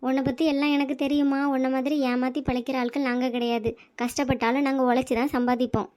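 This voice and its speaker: A woman voicing a poor but kind-hearted woman passerby in a cartoon, talking in a brisk, scolding but kind way. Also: high-pitched, little pitch movement, darkish tone, lightly acted. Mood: firm. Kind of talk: cartoon voice acting.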